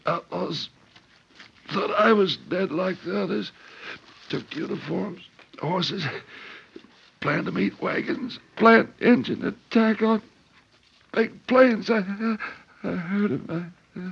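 A mortally wounded trooper in an old radio western. His weak, pained voice is pitched high and badly husky, and he gasps out his words very slowly. The recording is dull and vintage. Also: a bit bright, exaggerated voice. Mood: gasping, desperate. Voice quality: strained, raspy. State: gasping, weak, in pain. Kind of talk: old-time western radio drama acting.

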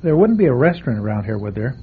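A man's voice: mid-range voice, big pitch swings, rapid-fire, lightly acted. A man plays a worn-out, superstitious traveling man in an old radio play. He hesitates and stammers through every line. His ordinary mid-range voice sounds thin and tinny, with a faint hiss behind it. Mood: weary. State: tired. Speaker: traveling guest. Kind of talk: 1970s radio drama acting.

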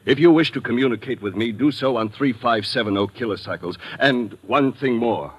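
An actor playing a suave, patronizing army colonel with formal, precise speech in an old radio drama. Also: male voice, mid-range voice, moderate pace, breathy edge, lightly acted. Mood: formal, confident. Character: suave military colonel commanding troops, courteous but patronizing. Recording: vintage radio drama recording.

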